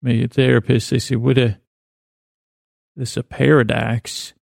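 A man hosting a sleep podcast, rambling softly in a halting, self-correcting way with many pauses. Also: medium pitch, level tone, dry recording, clean studio sound. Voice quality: creaky, soft, halting with many restarts. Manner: meandering, self-correcting, drowsy pace. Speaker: podcast host.

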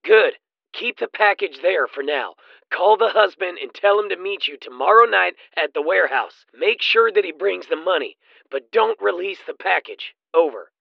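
A man's voice: sits high, lively intonation, moderate pace, vocal fry, no room sound. A man playing a cold crime boss in an audio drama, giving terse orders through a two-way radio filter. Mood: cold, commanding. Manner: terse, commanding. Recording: dull, band-limited two-way radio.

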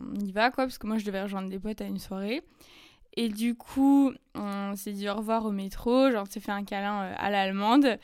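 Young woman podcaster, casual and chatty storyteller, lots of fillers; crisp tone, dry recording. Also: medium pitch, no room sound. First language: French. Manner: chatty, many fillers and quick asides. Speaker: podcast host. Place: lives in Germany.

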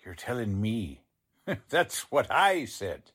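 A man playing a gruff, impatient police lieutenant in a 1940s radio drama, with slangy, ungrammatical tough talk. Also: on the low side, sing-song, slow, a bit bright, full character voice. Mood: scornful.

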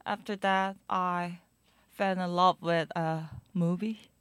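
A young woman with a Korean accent, a guest in an interview, speaking halting English with many fillers. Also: on the low side, steady tone, fairly bright tone, smooth, no room sound. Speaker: guest musician and DJ. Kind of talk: casual interview.

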